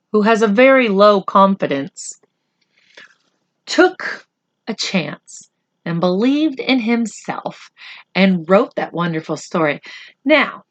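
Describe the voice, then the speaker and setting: A woman's voice: mid-range voice, a bit bright. A woman reading a children's story aloud, breaking off into informal, self-correcting explanations for young listeners. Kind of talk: read-aloud narration with chatty asides to children.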